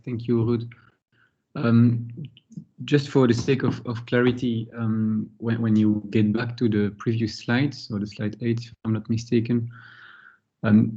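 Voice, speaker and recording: Mellow-voiced man asking politely. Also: mid-range voice. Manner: polite, precise questioning. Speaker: meeting participant. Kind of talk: conference call question. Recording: dull call audio.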